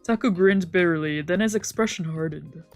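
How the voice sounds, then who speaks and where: A woman streamer reading a visual novel's narration aloud. Her voice sits slightly low and she keeps a steady, even storytelling pace. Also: moderate pace, soft background music.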